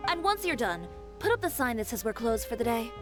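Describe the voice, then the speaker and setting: An actress playing a proud, composed fashion designer who runs her own boutique, giving crisp, self-assured orders. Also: fairly high pitch, sing-song, fairly quick. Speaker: boutique owner. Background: game music.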